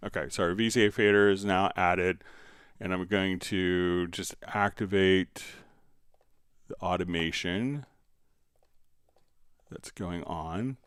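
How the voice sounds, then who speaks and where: A man hosting a live software tutorial, explaining step by step and informally thinking aloud as he demonstrates. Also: low-pitched, slow pace, long pauses, clear, resonant tone, no room sound, studio quality. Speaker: software instructor and livestream host.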